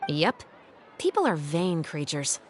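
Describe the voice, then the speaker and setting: A woman playing a composed, dutiful female patrol captain in a video game, speaking in a measured, dryly wry way. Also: deep voice, sing-song, faint music behind, full character voice. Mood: explanatory, calm. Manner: measured, dry, articulate.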